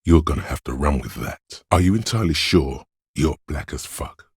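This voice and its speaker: A man voicing a detective's coolly observant inner voice of visual reasoning in a video game, narrating in a measured, clinical, matter-of-fact way with a deep, gravelly tone. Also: expressive, no echo, clean studio sound, exaggerated voice. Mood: blunt. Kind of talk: scripted second-person game narration.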